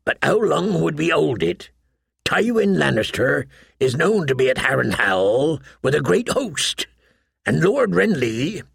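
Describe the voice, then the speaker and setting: A man voicing a grave, plain-spoken low-born smuggler in an audiobook, sober and measured. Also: big pitch swings, vocal fry, husky, dry recording, clean studio sound, full character voice. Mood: concerned. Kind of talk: audiobook character voice.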